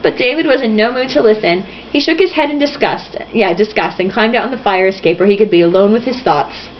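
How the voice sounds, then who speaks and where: A woman's voice: mid-range voice, expressive, brisk. A woman reading a novel aloud in a casual, theatrical way, dropping in sarcastic, mocking asides. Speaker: host reading a novel aloud with jokey commentary. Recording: dull lo-fi sound, faint noise.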